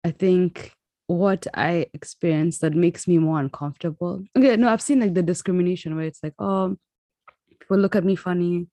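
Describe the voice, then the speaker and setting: A young woman from Kenya with a Kenyan accent, chatting casually in an animated way. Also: fairly low pitch, dry recording. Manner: animated, quick reactions and laughter. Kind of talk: casual chat.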